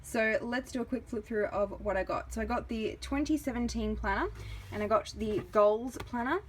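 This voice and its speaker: A woman's voice: a little high, moderate pace, a little hiss or hum. A woman with an Australian accent giving a casual product review as a vlogger.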